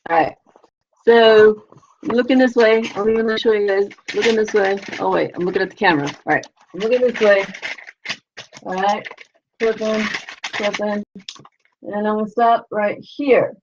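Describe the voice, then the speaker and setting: A woman with a low, raspy voice hosting a casual live video show. She rambles crudely and leans on constant "you know" fillers. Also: fairly even intonation, normal speed, pauses often, dull audio, noisy background. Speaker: vlogger, show host.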